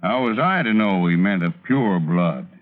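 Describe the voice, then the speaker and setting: A man's voice: deep voice, lively intonation, moderate pace. An actor playing a folksy cowboy gunman with an American Western accent in a 1940s radio drama. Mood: sheepish. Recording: tinny, phone-like old radio recording.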